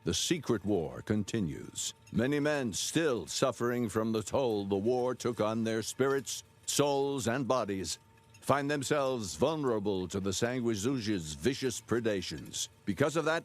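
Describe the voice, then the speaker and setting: An actor as a solemn, mid-pitched agency director. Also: male voice, lively intonation, a little slow, natural voice. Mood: solemn, resolute. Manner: formal, solemn, oratorical. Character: formal, stern male director of a secret agency. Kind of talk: scripted address in a video game.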